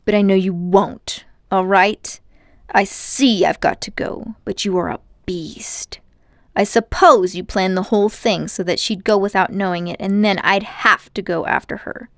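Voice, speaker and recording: A woman's voice: mid-range voice, steady tone, unhurried, a bit bright, no room sound. A defiant schoolboy, performed by a woman reader talks back bluntly and accusingly. The hot-tempered storybook nephew is heard in a dry, close recording. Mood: bitter, resigned. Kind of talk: acted storybook dialogue.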